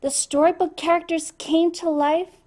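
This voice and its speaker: A woman reading a children's storybook aloud in an expressive way, addressing young listeners. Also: very high-pitched, moderate pace. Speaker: storybook reader. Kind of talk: animated children's read-aloud.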